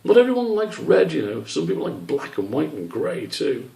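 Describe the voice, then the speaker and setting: A man's voice: on the high side, huge pitch swings, normal speed, vocal fry, warm tone, voice pushed up, exaggerated voice. A man voicing a touchy young male badger in a children's storybook, grumbling in a dry, matter-of-fact way. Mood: huffy. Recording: roomy echo, distant microphone.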